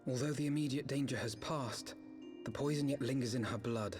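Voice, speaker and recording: A man's voice: medium pitch, fairly quick, lightly acted. An actor playing an earnest young nobleman, formal and eloquent, with a grieving weight to his words. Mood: grave, sorrowful. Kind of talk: video game voice acting, dramatic dialogue. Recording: game music under the voice.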